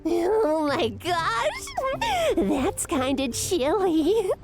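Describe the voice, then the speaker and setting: Vampire girl: extremely high, animated, slow-paced, haughty, cutesy and curious, manga dub. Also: over music, full character voice. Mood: amazed, delighted. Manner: expressive, cutesy, with gasps. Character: young female vampire dungeon boss, haughty, curious, easily excited. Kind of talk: anime-style manga dub acting.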